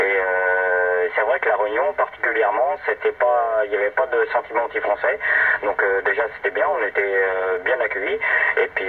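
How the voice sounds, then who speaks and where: A middle-aged Frenchman chatting casually and hesitantly over a CB radio link, rambling in an easygoing way. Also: on the low side. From metropolitan France. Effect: CB single-sideband radio. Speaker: amateur CB radio operator. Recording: narrow tinny radio link, noisy, distant.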